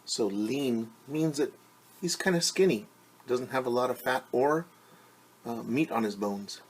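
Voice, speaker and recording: Slow, very animated male children's storyteller. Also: medium pitch, slightly rough. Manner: sing-song refrains with hushed, whispered asides. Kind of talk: expressive picture book read-aloud.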